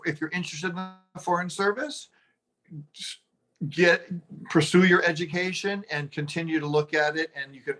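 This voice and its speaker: A man speaking on a webinar panel about careers in diplomacy, halting and often restarting his sentences. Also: fairly high pitch, a bit bright, poor audio.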